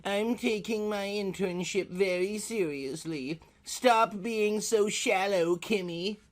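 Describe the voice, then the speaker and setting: A man's voice: sits high, takes it slowly, pitched above the performer's own voice. A man voicing a prim, earnest young woman intern in a comic audio story. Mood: scolding.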